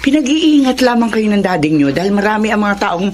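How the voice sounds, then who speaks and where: An actress playing a gentle, protective middle-aged mother in a Filipino radio drama, soothing and motherly. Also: medium pitch, very animated, brisk, slight background noise, lightly acted. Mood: hushing, reassuring.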